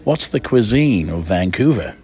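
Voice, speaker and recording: A man's voice: lowish voice, big pitch swings, moderate pace. A man hosting a radio food interview, effusive, chatty and theatrical. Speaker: radio food show host.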